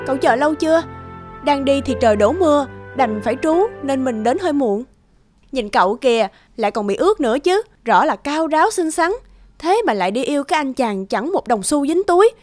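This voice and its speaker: Materialistic chatty friend, cartoon ; female voice; high, lecturing, music bed. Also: lively intonation, a little fast, darkish tone. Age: young adult. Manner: talkative, lecturing and pushy. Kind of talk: animated story voice acting.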